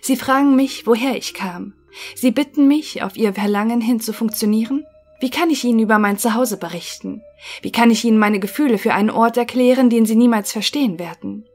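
A woman playing a formal, melancholic thinking machine in an audio drama, answering in clipped, measured phrases. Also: on the high side, darkish tone, faint music behind, close to an everyday voice.

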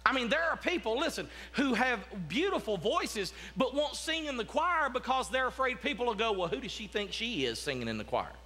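Pastor, 30s, bright high voice, chatty sermon with restarts, church sanctuary. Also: male voice, big pitch swings, fairly quick, hardly any pauses. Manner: conversational preaching with frequent repeated restarts and asides. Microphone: podium mic, walking about the platform.

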